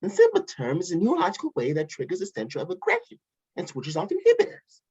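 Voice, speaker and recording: An actor voicing a smug, flippant villain mastermind in a table read, boastful and wisecracking, with a husky voice. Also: mid-range voice, wildly swooping pitch, fast, darkish tone, heavily acted. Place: remote online table read. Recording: dull lo-fi call audio.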